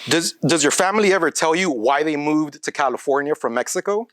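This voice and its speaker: A man's voice: on the high side, lively intonation, fairly quick, a bit bright, no echo. Man asking questions, dry sound. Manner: direct, plain questioning. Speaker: interviewer. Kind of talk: interview questions.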